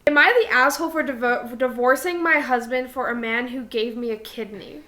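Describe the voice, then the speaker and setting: A young woman with a high, bright voice co-hosts a casual podcast. She is irreverent and giggly, slipping between reading aloud and offhand joking remarks. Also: steady tone, brisk. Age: young adult. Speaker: podcast co-host.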